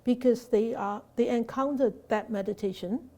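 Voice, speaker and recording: Woman Buddhist teacher, mellow tone, unscripted, halting delivery with fillers, rhetorical questions. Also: a little high. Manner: hesitant, many fillers and restarts.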